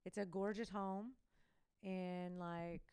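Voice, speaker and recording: A woman's voice: lowish voice, fairly even intonation, slow, a little mellow, clear, resonant tone, no echo, clean studio sound. A woman guest on a chat show, chatty and rambling, full of likes and ums. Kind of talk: casual chat.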